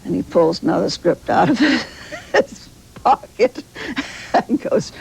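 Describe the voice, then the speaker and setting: An older woman with a crackly, husky voice telling an anecdote in an interview. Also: fairly low pitch, sing-song, slow, darkish tone. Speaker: retired radio actress being interviewed. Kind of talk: anecdotal storytelling.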